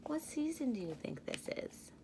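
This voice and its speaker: A woman in her thirties reading a children's storybook aloud like a teacher, expressively, pausing to explain and ask questions. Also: high voice, sing-song, background noise.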